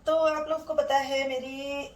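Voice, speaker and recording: Middle-aged woman vlogging at home, sore throat, a bit high voice, roomy far-off phone sound. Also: little pitch movement, a little slow. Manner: chatty, homely, addresses viewers as friends. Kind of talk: casual home vlog talk to viewers. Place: at home, indoors. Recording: roomy sound from a distant mic.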